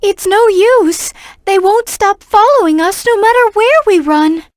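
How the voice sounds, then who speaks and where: Very high-pitched gentle young girl. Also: a little slow, no room sound, clean studio sound. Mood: alarmed, pleading. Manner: earnest, polite, caring. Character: gentle, earnest, worried young girl, a kind helper. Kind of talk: video game voice acting.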